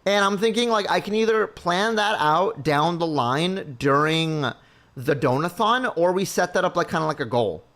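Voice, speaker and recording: Brief: easygoing male streamer, slightly high voice, thinks aloud and circles back on himself. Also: expressive, normal speed, fairly bright tone. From Mexico. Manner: rambling, thinking aloud with many restarts. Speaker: livestream host. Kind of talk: casual chat with viewers.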